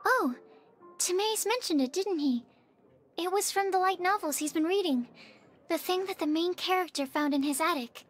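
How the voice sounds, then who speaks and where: A woman voicing a gentle, studious, polite young woman in a video game, with music behind her. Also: very high-pitched, normal speed. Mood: curious. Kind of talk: animated game voice acting. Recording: background music.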